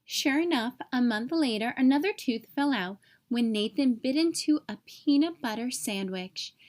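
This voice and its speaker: Female storyteller, high voice, expressive picture-book read-aloud for young children. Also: normal speed.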